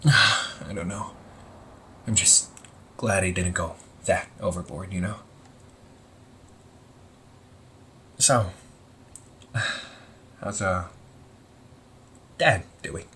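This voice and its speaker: Casting: male actor as wry, anxious young villain, low voice with big pitch swings, casual and exasperated, for audio roleplay. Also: fairly bright tone, a little hiss or hum, heavily acted. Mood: relieved, sheepish. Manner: informal, sighing, halting, exasperated. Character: anxious, wry young supervillain confiding in a sibling. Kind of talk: amateur audio roleplay, casual conversational acting.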